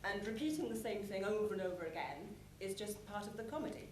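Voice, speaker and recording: A woman giving a prepared conference presentation, explaining things in measured phrases with deliberate pauses. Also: mid-range voice, lively intonation. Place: large conference hall with an audience. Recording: echoey conference hall, clear background noise.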